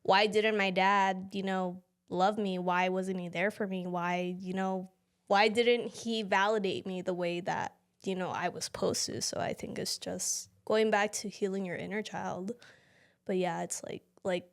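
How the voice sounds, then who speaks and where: A woman's voice: mid-range voice, steady tone, a little slow, smooth. Young woman, podcast co-host; thoughtful, casual, filler-heavy talk. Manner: reflective, many fillers like "you know" and "like". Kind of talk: casual reflective chat.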